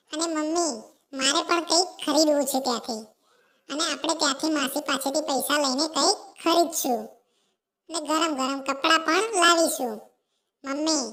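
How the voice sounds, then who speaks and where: A young cartoon sparrow chick, squeaking at an extremely high pitch. It is eager and caring, with an insistent, repetitive, pleading way of talking suited to a children's moral story. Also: bright, forceful tone. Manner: pleading, insistent, repeats itself. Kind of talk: animated children's story dialogue.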